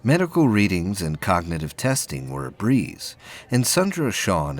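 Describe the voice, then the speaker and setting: A man reading scripted narration for a science fiction audio play, with a husky voice. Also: low-pitched.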